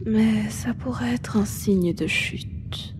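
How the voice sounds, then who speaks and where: A woman voicing a doting, teasing monster nurse in a roleplay ASMR, with a breathy, whispered, motherly delivery. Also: medium pitch, noisy background, lightly acted. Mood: gentle concern. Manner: slow, soothing, motherly. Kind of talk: French roleplay ASMR, intimate acting.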